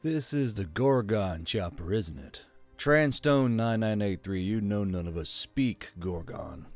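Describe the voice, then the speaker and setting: A man's voice: lowish voice, animated, measured pace, soft background music, pitched below the performer's own voice. A man voicing an exasperated airport tower controller on the radio in an audiobook story. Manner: exasperated, weary.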